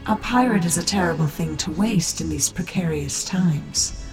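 A woman voicing a calm, confident tech-priestess who leads a cult. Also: lowish voice, moderate pace, gravelly, echoey room, faint music behind, low-quality recording. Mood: imperious. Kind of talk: fan audio drama acting.

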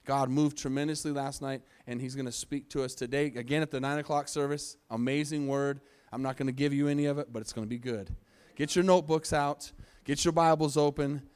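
A man with a smooth, clear voice at a middling pitch welcomes a guest speaker to his congregation. He is upbeat and calls out to the crowd for amens. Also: steady tone, brisk, darkish tone. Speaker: church pastor introducing guest. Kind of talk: church announcements. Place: church sanctuary.

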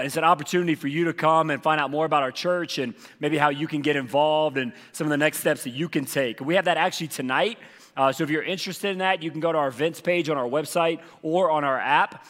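A man preaching as lead pastor, hoarse from losing his voice, talking casually with many fillers. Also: on the high side, a bit bright, in a large hall. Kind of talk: sermon with announcements. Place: church auditorium.

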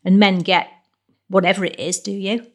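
A woman's voice: medium pitch, little pitch movement, normal speed, close to an everyday voice. A woman voicing a hopeful, impulsive young woman in love, earnest and nervous, in a novel. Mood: shaky, casual.

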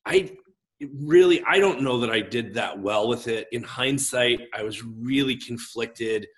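A man in his forties, a pastor, talking in a casual interview in a gregarious, expressive way with a big voice. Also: medium pitch, unhurried. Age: 40s.

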